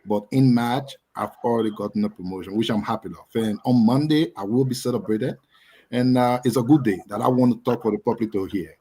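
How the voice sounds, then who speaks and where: A man's voice: medium pitch, a little fast, mellow, husky, rough recording. A young adult man with a Liberian accent, co-hosting a casual live talk show and chatting with frequent laughs. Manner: talkative, laughs often. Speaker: co-host. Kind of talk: casual chat.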